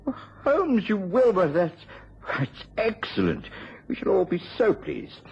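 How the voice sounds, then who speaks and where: An actor playing a kindly, dutiful British gentleman in an old radio drama, genteel in his speech. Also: high-pitched, wildly swooping pitch, measured pace, creaky, slightly rough, heavily acted. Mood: pleased. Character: kindly, dutiful married English gentleman, loyal friend. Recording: muffled vintage radio recording.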